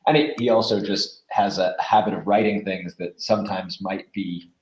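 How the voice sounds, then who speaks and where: A man's voice: sits low, steady tone, moderate pace. A man chatting casually as an interview guest, a voice actor who slips into acting out quoted phrases. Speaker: podcast guest, audio drama voice actor. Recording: roomy, echoing sound.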